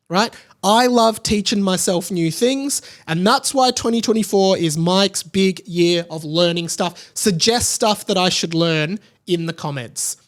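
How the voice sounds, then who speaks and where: A man in his late twenties talking casually and animatedly on a solo podcast, rambling and self-deprecating. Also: sits high. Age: late 20s. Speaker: podcast host.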